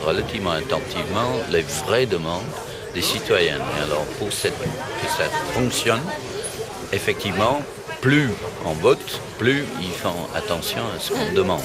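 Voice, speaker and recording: A man's voice: low-pitched, expressive, unhurried. A man who grew up abroad, answering street interview questions with many hesitations. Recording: loud crowd noise behind.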